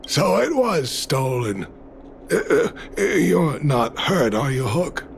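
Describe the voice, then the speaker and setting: Gravelly, kindly father. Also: a little high, sing-song, takes it slowly, noisy background, exaggerated voice. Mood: gentle. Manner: caring, reassuring. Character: kindly, gentle working-class father, adult man. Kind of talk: English video game voice acting.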